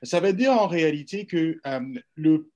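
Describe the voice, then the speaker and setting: A man from Cameroon speaks on a radio panel in a formal, measured way, pausing on fillers. His voice sits a little high for a man. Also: lively intonation, a little mellow, highs cut off. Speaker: panel guest.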